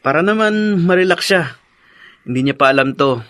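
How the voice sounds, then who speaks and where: An actor playing a dutiful, caring grown son and young husband in a Filipino radio drama, polite and earnest. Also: male voice, a little high, animated, normal speed, fairly bright tone, no echo, clean studio sound. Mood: caring, eager.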